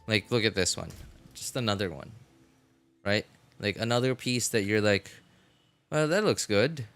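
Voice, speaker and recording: A man giving casual, halting gameplay commentary as a streamer, repeating himself as he thinks aloud. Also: fairly low pitch, fairly bright tone. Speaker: game streamer. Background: game music.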